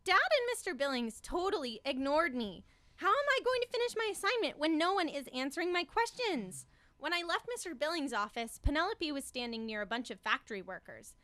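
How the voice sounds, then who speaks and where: A woman's voice: sits high, big pitch swings, fairly quick, bright, forceful tone, no echo, full character voice. A young woman playing a perky, naive schoolgirl in a campy radio comedy, reading her diary with exaggerated childlike delivery. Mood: perky, plucky. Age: young adult. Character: young schoolgirl Megan, chirpy, naive, gleefully rough. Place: small radio studio. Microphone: studio microphone on stand.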